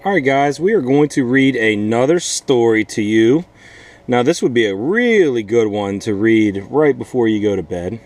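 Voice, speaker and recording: A man's voice: mid-range voice, lively intonation, normal speed, a little mellow. A man hosting a casual how-to vlog, talking directly to viewers and explaining step by step like a teacher. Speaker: vlog host, teacher and gardener. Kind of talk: casual instructional vlog talk.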